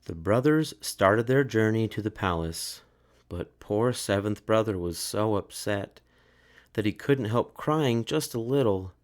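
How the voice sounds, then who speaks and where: A man reading a children's story aloud in a measured, expressive way. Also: on the low side. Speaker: storybook narrator.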